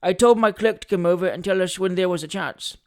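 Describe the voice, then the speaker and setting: A man's voice: high-pitched, brisk, natural voice. A man voicing a pompous, boastful small-time attorney who likes a drink, with hesitant mock modesty, in a comic Victorian audiobook. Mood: businesslike. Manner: self-important, hesitating mock modesty, flowery politeness.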